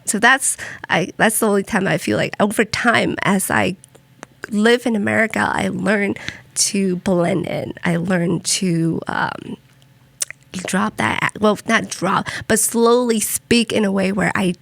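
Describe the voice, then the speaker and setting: A young woman from Vietnam shares her experiences in a reflective, halting way, circling back and restarting her thoughts. Her voice has a gravelly texture. The recording is dry and close. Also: mid-range voice, fairly bright tone, no room sound. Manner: reflective, with many fillers and restarts. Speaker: podcast guest. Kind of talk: personal storytelling in conversation.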